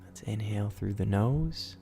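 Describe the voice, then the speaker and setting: A man gently guiding a bedtime meditation in a soothing, unhurried, instructional manner. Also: lowish voice, animated, creaky, soft background music. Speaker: meditation guide, host. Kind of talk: guided sleep meditation.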